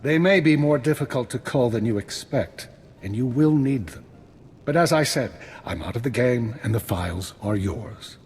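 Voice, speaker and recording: An actor playing a shrewd, formal, dryly polite retired spymaster in a science-fiction video game. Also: male voice, mid-range voice, expressive, normal speed, a little mellow, a little hiss or hum, lightly acted. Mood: measured, grave. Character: shrewd, unflappable male retired imperial spymaster. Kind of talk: scripted video game acting.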